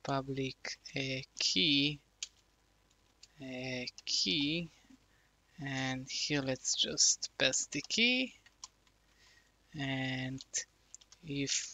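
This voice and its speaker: Man, very slow, hesitant, filler-heavy, casual tutorial narration, desk mic. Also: mid-range voice, pushed and bright. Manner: slow, halting, thinking aloud with many fillers. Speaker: coding tutorial presenter. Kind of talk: casual screen-recorded coding tutorial.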